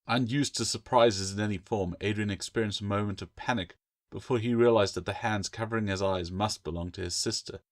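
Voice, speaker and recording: Low-voiced man narrating an audiobook. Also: fairly even intonation, brisk, no room sound, clean studio sound. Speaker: author reading own novel.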